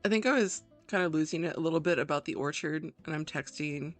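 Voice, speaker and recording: A woman's voice: low voice, fast, soft background music. A woman from the Virginia mountains giving chatty, casual playthrough commentary with joking asides to viewers. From Virginia, Appalachian Mountains, US. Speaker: gaming video host.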